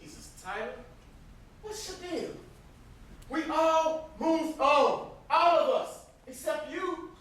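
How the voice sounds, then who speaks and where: A man's voice: extremely high pitch, slow, a bit bright, full character voice. A man acting an exasperated, indignant young brother defending his family in an amateur stage play. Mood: reproachful. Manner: indignant, pleading. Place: indoor theatre stage. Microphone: distant camera microphone. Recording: distant, very echoey stage recording.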